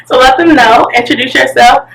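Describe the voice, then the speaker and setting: A woman's voice: sits high, normal speed, creaky, fairly bright tone, far from the mic, slight background noise, rough recording. A woman hosting a casual talk show interview, chatty and giggly.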